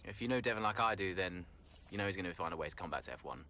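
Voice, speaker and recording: A man's voice: low-pitched, animated, very fast, pushed and bright, slight background noise. A man playing a good-natured young racing driver in a video game, talking in a hesitant, unassuming, conversational way. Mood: friendly, hopeful.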